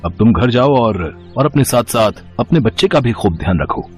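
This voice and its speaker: A man acting a concerned village doctor in a moral story, fatherly and gently admonishing. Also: mid-range voice, animated, normal speed, soft background music, lightly acted.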